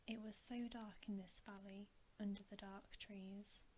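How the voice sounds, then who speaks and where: A woman reading a bedtime story in a soft, breathy ASMR whisper, gently and with pauses. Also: mid-range voice, little pitch movement, slight background noise. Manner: slow, gentle, unhurried delivery with pauses.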